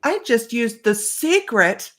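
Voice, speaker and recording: A woman's voice: on the high side, sing-song, takes it slowly, fairly bright tone. A middle-aged woman hosting a live stream as a psychic medium, chatting to viewers and greeting them by name.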